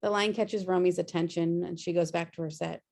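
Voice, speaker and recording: A woman's voice: on the low side, fairly even intonation, brisk, vocal fry, darkish tone. A woman reading screenplay stage directions as scripted narration on a video-call table read.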